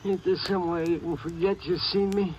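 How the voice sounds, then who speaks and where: An actor playing a timid, aggrieved old man with a rural American accent, folksy and halting, in 1950s radio drama style. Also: high voice, steady tone, a little mellow, natural voice, rough recording. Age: older. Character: timid, aggrieved old runaway father hiding from bossy daughter. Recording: old radio recording, faint noise.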